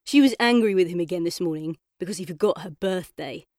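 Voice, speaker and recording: A woman's voice: on the low side, fairly quick, no room sound, close to an everyday voice. Woman reading as an earnest chatty young boy telling his story, kids' audiobook, really dry studio sound. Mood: chatty, amused. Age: child. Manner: earnest, colloquial, expressive. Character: boy narrator in a coma, chatty, frustrated, yearning. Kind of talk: first-person children's audiobook narration.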